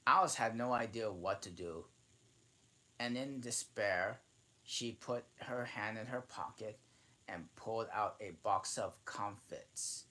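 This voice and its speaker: A man reading a children's classic aloud in a halting way, often restarting and correcting himself mid-sentence. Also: fairly low pitch, sharp tone. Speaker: channel host reading aloud.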